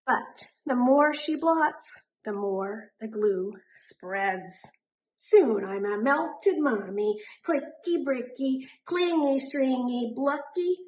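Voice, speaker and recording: A woman reading a children's picture book aloud in an animated way, drawing out words for emphasis. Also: a little high, slight background noise, low-quality recording. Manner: animated, deliberate, drawn-out emphasis on rhyming words. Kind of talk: expressive children's storybook narration.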